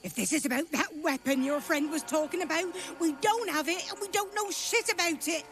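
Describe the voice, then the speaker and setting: Defiant, foul-mouthed captive. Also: female voice, extremely high pitch, a little fast, a bit bright, soft background music. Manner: rough, defiant.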